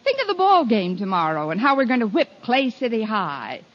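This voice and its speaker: A woman playing a wry, sardonic high school teacher tossing off wisecracks in 1950s radio comedy style. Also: huge pitch swings, a little fast. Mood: dutiful, coaxing. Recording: muffled, noisy 1950 radio recording.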